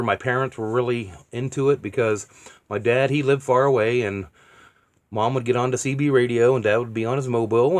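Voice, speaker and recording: A man chatting casually and unscripted as a vlogger, in a plainspoken, offhand way. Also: medium pitch. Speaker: vlogger documenting a bus build. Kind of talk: casual unscripted vlog chat.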